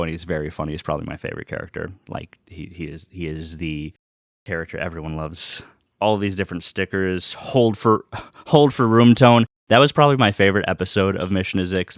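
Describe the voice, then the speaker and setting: A man with a notably deep voice talks in a dry, echo-free sound. He rambles casually like a fan addressing viewers, enthusiastic and profane. He leans on repeated words to drive his points home. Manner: enthusiastic, profane, emphatic repetition. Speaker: vlogger unboxing vinyl and merchandise.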